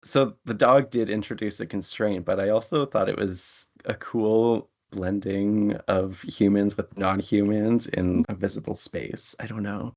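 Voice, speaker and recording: A man's voice: fairly low pitch, steady tone, measured pace, hardly any pauses, darkish tone. A man speaking as a writer guest in a casual, reflective and chatty panel conversation. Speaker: interview guest, writer and playwright. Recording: dull lo-fi audio.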